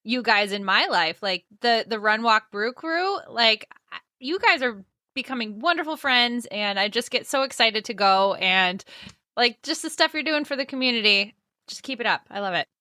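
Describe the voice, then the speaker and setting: A woman in her thirties with a bright voice, pitched a little high, co-hosts a podcast. She speaks in a gushing, filler-laden way, and the sound is dry and close to the mic. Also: animated, normal speed, dry recording. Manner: gushing, filler-heavy with frequent "like". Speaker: podcast co-host. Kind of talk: casual podcast conversation.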